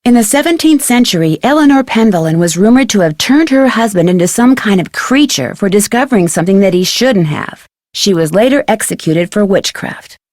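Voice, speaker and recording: A woman's voice: mid-range voice, expressive, a little fast, a little mellow, no echo, clean studio sound, natural voice. A woman playing a curious young female detective in a video game, speaking conversationally with an American accent in an earnest, matter-of-fact way. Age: young adult. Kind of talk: video game dialogue acting, conversational phone chat.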